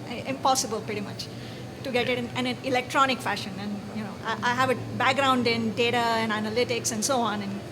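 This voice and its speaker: Middle-aged woman asking direct questions. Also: a little high. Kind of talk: conference panel discussion. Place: conference hall stage. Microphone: handheld microphone. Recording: noisy conference hall.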